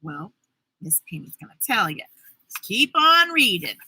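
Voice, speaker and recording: Female kids' storytime host: very bright, forceful voice, unhurried pacing, playful and exuberant delivery. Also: mid-range voice, very animated, breathy edge, no room sound. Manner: exuberant, playful, sound effects and drawn-out words. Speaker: children's storybook reader and host. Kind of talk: animated read-aloud for children with chatty asides.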